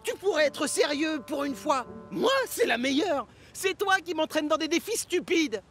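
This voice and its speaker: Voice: high voice, animated, normal speed. An actor voicing mischievous, boyish twin tank engines in a French cartoon dub, teasing and boastful. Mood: squabbling. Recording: music behind the voice.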